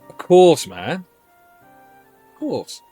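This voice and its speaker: A man's voice: fairly high pitch, huge pitch swings, drawn-out words, mellow, husky, faint music behind. Man doing casual game stream commentary. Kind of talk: casual live playthrough commentary.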